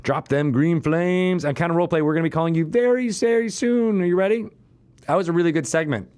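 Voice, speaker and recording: A man's voice: high-pitched, animated, rapid-fire, a little mellow. A man hosting a talk show in casual chat, joking and rambling in a stop-start way. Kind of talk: casual chat with co-host and guests.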